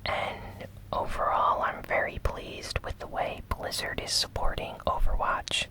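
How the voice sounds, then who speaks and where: A host softly whispering a gaming news recap in a breathy, unhurried ASMR style. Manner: slow, soft, unhurried delivery.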